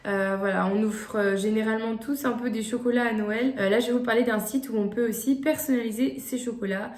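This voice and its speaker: A young woman chats through gift ideas, stumbling over fillers and restarting her sentences. Her voice sits a little high. The room around her is echoey. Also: fairly even intonation, a little fast, darkish tone. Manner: hesitant, many "euh" fillers and restarts. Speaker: vlogger presenting gift ideas. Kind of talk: casual chat to camera.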